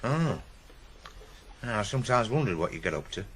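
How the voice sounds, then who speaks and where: Gruff, guarded Northern father, widely varied pitch. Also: very animated, a bit bright, a little hiss or hum. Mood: halting concern. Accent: Northern English. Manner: gruff, halting, evasive. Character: guarded, curt working-class father, middle-aged. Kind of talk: 1980s British radio drama acting.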